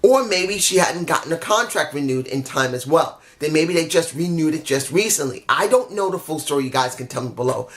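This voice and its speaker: Hoarse man ranting a wrestling review. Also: fairly high pitch, animated, fairly quick, few pauses, fairly bright tone. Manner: candid, rambling, frustrated, frequent restarts. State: losing his voice. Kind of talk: casual opinionated review.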